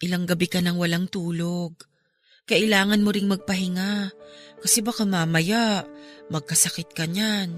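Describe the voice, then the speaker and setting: A woman's voice: on the low side, steady tone, normal speed, soft background music, close to an everyday voice. A woman playing an outspoken, caring young friend in an earnest radio drama style. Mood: gentle concern. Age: young adult. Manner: earnest, emphatic, polite yet confrontational.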